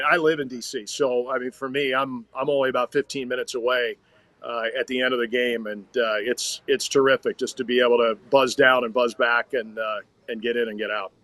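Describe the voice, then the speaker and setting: American man with a bright, forceful announcer's voice. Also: mid-range voice, steady tone, a little fast, dry recording. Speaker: guest, hockey radio play-by-play announcer. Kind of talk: conversational interview answers.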